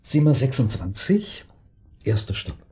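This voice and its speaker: An actor in a radio drama playing a courteous, discreet hotel receptionist with a formal manner. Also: male voice, medium pitch, sing-song, measured pace, dark tone, slight background noise.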